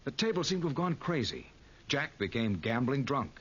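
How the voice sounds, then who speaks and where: A man's voice: medium pitch, big pitch swings, moderate pace, fairly bright tone. Skeptical American male friend and narrator, dry-witted, vintage radio drama, noisy dull sound. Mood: tense narration. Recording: muffled old radio recording, clear noise.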